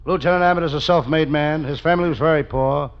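An actor playing a world-weary, wisecracking private detective in an old-time radio comedy, delivering puns and narration deadpan. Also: male voice, fairly high pitch, quick pace, lightly acted. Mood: deadpan, narrating. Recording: muffled, very noisy old radio recording.

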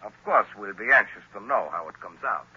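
Harsh, husky sinister professor villain. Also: male voice, fairly low pitch, lively intonation, fairly quick, pushed and bright, full character voice. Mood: smooth evasion. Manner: formal, imperious. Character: sinister hypnotist professor, cold scheming male villain. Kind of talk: 1930s radio drama acting. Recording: muffled old 1930s radio recording.

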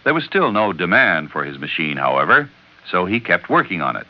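A man's voice: low-pitched, very animated, brisk. A man with an American accent reading scripted narration as an old-time radio announcer. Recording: muffled old radio broadcast.

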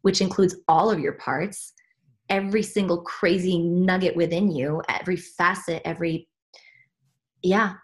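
A woman's voice: lowish voice, fairly even intonation, normal speed. A woman from Switzerland, a spiritual teacher, talking earnestly about her path as a podcast guest, often restarting her sentences as she searches for words. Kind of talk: reflective podcast conversation.

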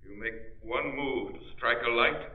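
An actor playing a stern, composed male crime fighter, measured and authoritative, in 1930s radio drama style. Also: medium pitch, natural voice. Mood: commanding. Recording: muffled old radio recording.

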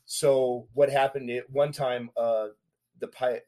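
A man recounting his past in casual street slang, animated and talkative. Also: mid-range voice, slow. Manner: street slang, animated retelling with acted quotes. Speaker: guest.

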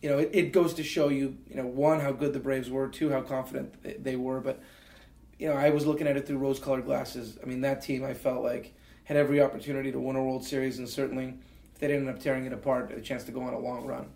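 An earnest man in his forties tells his story with plenty of ums and restarts. His voice sits at an ordinary middle pitch. The room around him rings with a roomy echo. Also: flat delivery, fast talker, creaky, a little mellow. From Canada. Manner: earnest, many fillers and restarts. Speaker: interview guest. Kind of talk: reflective storytelling.

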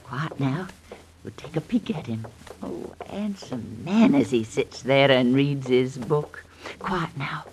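A woman's voice: very deep voice, expressive, slow pace, darkish tone, slight background noise, heavily acted. An actress playing a brassy, sly, gossipy female lodging-house keeper, theatrical and cajoling, in an old radio drama. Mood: excited, urgent.

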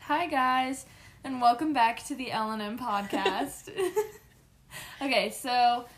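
A woman's voice: on the high side, slow. A young woman co-hosting a podcast, chatting casually and laughing often, her voice a little worn. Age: young adult. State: voice slightly worn, going in and out. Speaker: podcast co-host. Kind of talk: casual chat.

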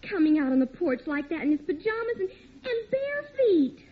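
An actress playing an aggrieved, plaintive teenage daughter with an American accent in vintage radio comedy style. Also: female voice, very high-pitched, very animated, normal speed. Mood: aggrieved, whiny. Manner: plaintive, indignant. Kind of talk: 1940s radio situation comedy acting. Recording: dull vintage radio recording.

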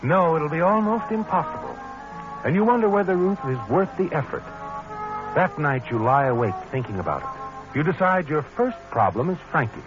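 An actor playing a knowing, ominous narrator in a vintage radio suspense show, dramatic and insinuating. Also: male voice, fairly high pitch, very animated, normal speed. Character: knowing, ominous male narrator addressing the schemer. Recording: muffled old radio, music underneath.

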